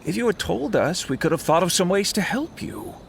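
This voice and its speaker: A man voicing a calm adult editor in a video game who gives clear instructions. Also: high voice, fairly quick, a little hiss or hum. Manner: calm, directive. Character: adult male publishing editor, steady leader.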